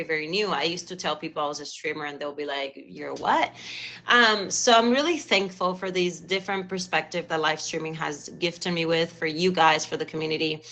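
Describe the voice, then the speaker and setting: A woman's voice: on the low side, bright, forceful tone. A woman in her thirties from Cuba with a Cuban accent, hosting a live stream talk show in an effusive, chatty way. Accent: Cuban Spanish. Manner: effusive and chatty, repeats thanks.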